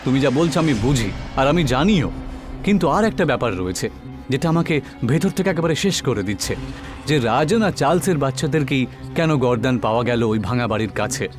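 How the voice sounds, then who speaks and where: A man's voice: mid-range voice, lively intonation, a little fast, over music, close to an everyday voice. A man playing a determined, serious police inspector in a cartoon horror story, speaking in a commanding, insistent way. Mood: troubled, puzzled.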